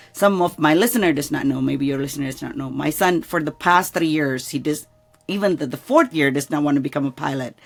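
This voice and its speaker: A woman's voice: low-pitched, vocal fry. A middle-aged woman from Indonesia with an Indonesian accent, hosting a casual interview chat and searching for words between fillers. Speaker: podcast host.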